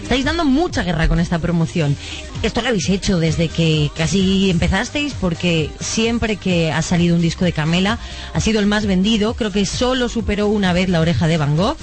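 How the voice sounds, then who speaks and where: A woman's voice: lowish voice, lively intonation, fairly quick, soft background music. A woman hosting a live radio interview, welcoming her guests effusively and asking chatty, winding questions. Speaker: radio host. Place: radio studio.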